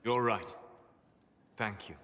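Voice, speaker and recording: An actor playing a thoughtful, melancholy wandering scholar in a fantasy video game, with measured, formal, reflective delivery. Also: male voice, lowish voice, sharp tone. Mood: wistful. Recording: long hall reverb, faint noise.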